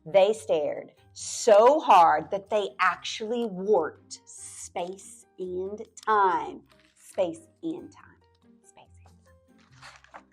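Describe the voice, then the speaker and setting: Slow, expressive woman reading a storybook. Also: mid-range voice, breathy edge, soft background music. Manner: expressive, drawn-out words and playful whispered asides. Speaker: library storyteller. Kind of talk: reading a picture book aloud to children.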